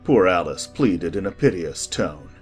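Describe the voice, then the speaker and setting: A man's voice: low-pitched, lively intonation, unhurried, soft background music. A man reading scripted storybook narration expressively.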